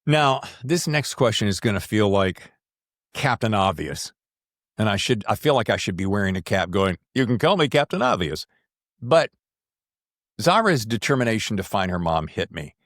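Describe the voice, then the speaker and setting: A man's voice: fairly low pitch, big pitch swings, moderate pace, a bit bright, no echo, clean studio sound. A man from the Southern United States hosting a casual book interview, talking in an effusive, rambling way with repeated words. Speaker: podcast host, interviewer.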